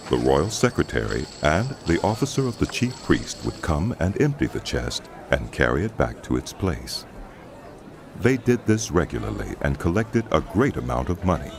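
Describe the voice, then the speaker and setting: A man narrating a dramatized audio Bible in a solemn, measured storytelling style. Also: rumbling low, vocal fry, background noise. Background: background music.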